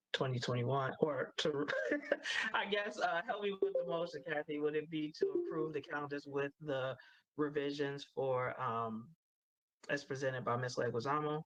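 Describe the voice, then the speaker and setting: A man chairing a board meeting, reading motions and calling for votes in a halting, procedural way. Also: medium pitch, a bit bright, dry recording, low-quality recording.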